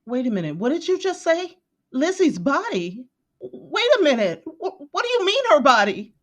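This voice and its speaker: A woman's voice: high voice, sing-song, moderate pace. An actress playing an earnest, emotional female office worker in an audio drama. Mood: shocked.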